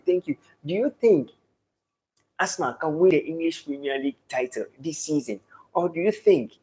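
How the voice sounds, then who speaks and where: A Ghanaian man with a slightly raised, husky voice hosts a football live stream. He showers viewers with thanks and greetings. He declaims the state of the league tables. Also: on the high side, darkish tone, low-quality recording. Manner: declaiming sports talk. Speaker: live-stream sports host.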